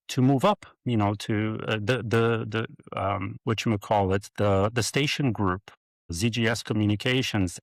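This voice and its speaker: A middle-aged man from Brazil, telling his career story as a podcast guest, halting with many fillers and restarts. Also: fairly low pitch, no echo. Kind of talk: interview storytelling.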